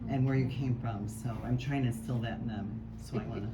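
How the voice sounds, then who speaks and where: A woman with a notably low voice speaks sincerely and conversationally. Background noise is clearly audible behind her. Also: fairly even intonation, fairly quick. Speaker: workshop participant. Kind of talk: self-introduction at a workshop.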